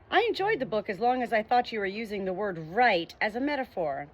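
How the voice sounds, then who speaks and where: A woman's voice: fairly high pitch, husky, poor audio. Woman earnestly reading a book aloud. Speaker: audiobook reader.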